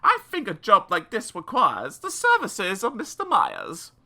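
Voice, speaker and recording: A woman voicing a gutsy, no-nonsense mother in a storybook, with a crisp manner. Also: mid-range voice, huge pitch swings, a little slow, bright, forceful tone, full character voice. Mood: matter-of-fact.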